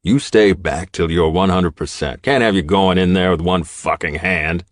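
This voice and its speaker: A man acts out an older outlaw biker boss with a deep voice. He is blunt, gruff and commanding, growling his orders in a dry audiobook sound. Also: moderate pace, dry recording, close to an everyday voice. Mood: stern, commanding.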